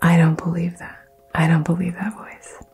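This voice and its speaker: A woman whispering soothing affirmations in an ASMR session, soft and gentle. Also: lowish voice, level tone, normal speed, vocal fry, slightly rough, faint music behind. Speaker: ASMR host.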